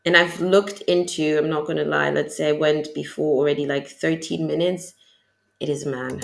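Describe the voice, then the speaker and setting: A woman's voice: deep voice, fairly even intonation, normal speed, gravelly. A woman hosting her own podcast, reacting to a clip in a frank, rambling way and asking rhetorical questions. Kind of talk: reaction commentary.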